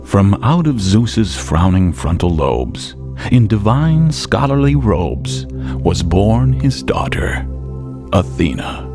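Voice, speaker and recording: An actor playing a hip, playful narrator of Greek myths in a radio drama, with theatrical rhyming delivery. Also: male voice, fairly low pitch, sing-song, slow, full character voice. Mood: playful, grand. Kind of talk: rhythmic rhyming radio storytelling over music. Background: music.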